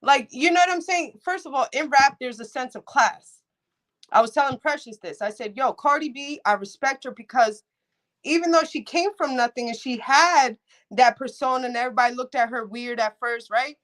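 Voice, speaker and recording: A woman co-hosting a casual podcast, animated and building up outrage as she talks. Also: sits high, moderate pace, rough recording. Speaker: podcast co-host. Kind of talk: casual chat.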